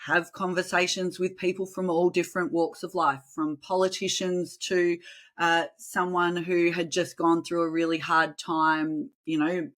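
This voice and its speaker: An Australian woman talking in a lively, animated way as a guest on a podcast interview. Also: lowish voice, unhurried. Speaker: podcast guest, communication trainer. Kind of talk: casual interview chat.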